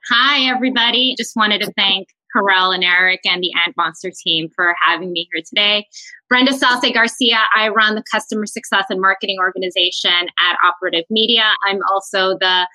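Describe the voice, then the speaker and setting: A woman from New York City talks through her career on a video call panel. Her voice is very bright and her manner is loose and conversational, with frequent fillers. The sound is dry and close. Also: mid-range voice, brisk, dry recording, low-quality recording. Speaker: panel guest.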